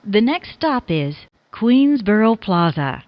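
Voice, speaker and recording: A woman's voice: medium pitch, big pitch swings, slow, dry recording. Woman, train announcer, scripted delivery, formulaic spliced phrasing, very varied intonation, slightly muffled lo-fi recording.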